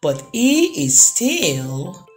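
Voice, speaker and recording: A woman reading a children's story aloud, with dramatic phrasing and drawn-out pauses. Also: lowish voice, huge pitch swings, faint music behind. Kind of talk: expressive read-aloud storytelling for young children.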